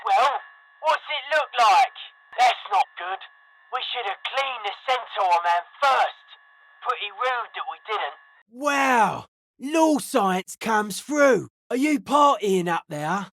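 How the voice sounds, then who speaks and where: Slangy underground radio tinkerer. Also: male voice, extremely high pitch, very animated, slow, creaky, fairly bright tone, slight background noise, exaggerated voice, rough recording. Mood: dry, grave. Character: slangy young underground music scene radio tinkerer, man, dry and intense. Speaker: voice actor for a game character. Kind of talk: video game character voice lines.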